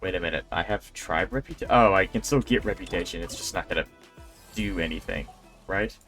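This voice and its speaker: Male game streamer, lowish voice, loose rambling chat, music playing behind. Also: fairly quick, a bit bright. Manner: rambling, conversational. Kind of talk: casual livestream chat. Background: video game music.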